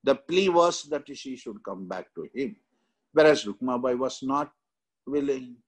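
An older man with an Indian accent lecturing on exam questions in a halting, repetitive way with frequent tags. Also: medium pitch, husky. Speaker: exam coaching teacher. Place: indoor room, seated.

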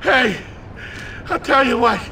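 A man's voice: very high-pitched, animated, slow pace, sharp tone, recorded from a distance, a little hiss or hum, exaggerated voice, poor audio. A man playing a whiny, wheezy, indignant comic shop nerd in a video game. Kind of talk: video game cutscene acting.

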